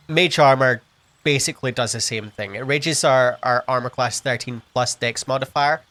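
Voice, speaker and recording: A man's voice: medium pitch, a bit bright. A man commentating a video game at a casual pace, sniffly, thinking aloud with frequent ums and restarts. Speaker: gameplay commentator. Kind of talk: casual let's-play commentary.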